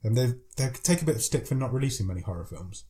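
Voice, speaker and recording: A man's voice: medium pitch, expressive, racing, mellow. A man with a cold co-hosting a podcast, chatting casually and laughing often as he runs through news. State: has a cold. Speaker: podcast co-host. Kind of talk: casual chat.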